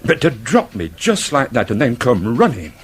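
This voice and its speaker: A man's voice: medium pitch, huge pitch swings, normal speed, breathy edge. Actor as prickly, aggrieved office man. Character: touchy, class-conscious male office worker nursing grievances. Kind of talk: British period radio drama acting.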